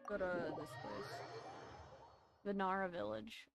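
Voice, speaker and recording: A male gamer commenting casually on his play. His voice is high for a man and noticeably creaky. Game music runs clearly behind his off-the-cuff remarks. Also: a little slow, a bit bright. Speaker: gamer, let's play host.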